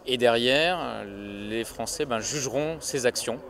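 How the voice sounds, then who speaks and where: A man's voice: mid-range voice, measured pace, vocal fry, a bit bright. A man giving an earnest, unscripted answer in an interview.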